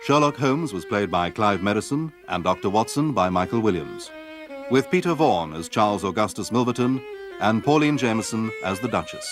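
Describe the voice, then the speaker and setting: Low-voiced English announcer over violin music. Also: male voice, animated, fairly quick. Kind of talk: scripted broadcast credits.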